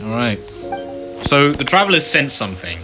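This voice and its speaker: A man's voice: medium pitch, very animated, normal speed, low-quality recording. Man doing casual let's-play chatter, rambly and unsure, game music playing behind. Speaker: gameplay commentator.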